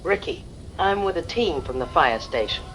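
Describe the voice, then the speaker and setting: A male actor playing a shaken eyewitness calling a radio show, dramatic, halting and emotional. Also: a little high, expressive, fairly bright tone, faint music behind, poor audio. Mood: shaken, awed. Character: shaken eyewitness caller from a fire crew team. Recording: clear background noise.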